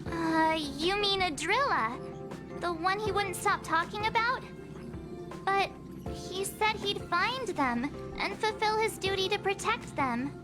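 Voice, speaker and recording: A woman's voice: extremely high pitch, slow, over music. A woman playing a curious, sentimental teenage girl adventurer in a video game, girlish and naive. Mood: puzzled, sad.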